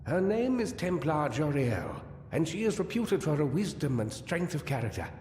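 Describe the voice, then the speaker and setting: A man playing a grave, persuasive counsellor in a fantasy game, speaking deliberately and formally. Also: on the high side, sing-song, darkish tone, a little hiss or hum. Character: grave male counsellor and strategist, measured and persuasive. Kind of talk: scripted fantasy game dialogue. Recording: echoey hall, music behind.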